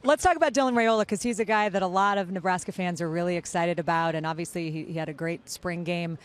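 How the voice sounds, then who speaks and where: A woman's voice: on the low side, fast. A woman hosting a TV sports interview, asking chatty, upbeat, long-winded questions. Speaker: TV sports host.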